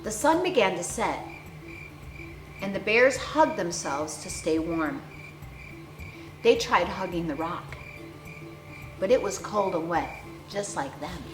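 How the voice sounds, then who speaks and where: A woman storyteller reading a picture book aloud to young children with theatrical, deliberate phrasing and dramatic emphasis. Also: lowish voice, lively intonation. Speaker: children's storyteller, clown character host. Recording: roomy echo, faint music behind.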